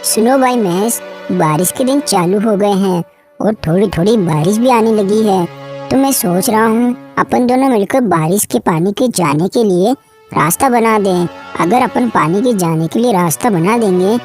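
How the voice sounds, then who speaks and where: A woman's voice: medium pitch, normal speed, a little mellow, soft background music, close to an everyday voice. A woman voicing a trusting, hardworking male farmer, earnest and obliging, in a cartoon moral story. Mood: earnest, practical.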